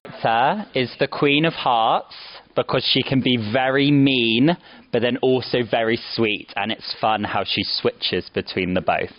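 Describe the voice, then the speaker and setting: A man, a stage actor, answering audience questions in measured, plain words. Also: medium pitch. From London, England. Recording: dull lo-fi sound.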